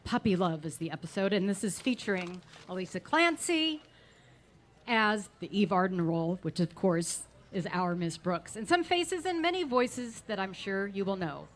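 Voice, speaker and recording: A woman's voice: medium pitch, animated, normal speed. A woman presenting at a live event, casually introducing performers and joking along. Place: live before an audience.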